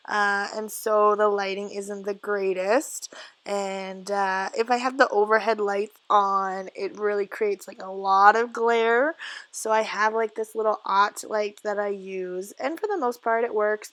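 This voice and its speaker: A young woman from Canada with a husky, croaky voice, casually narrating her scrapbooking in a home basement, full of "uh" fillers and soft laughs. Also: medium pitch, no echo. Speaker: scrapbooking vlogger. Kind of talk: casual voice-over narration of crafting. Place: home basement craft area.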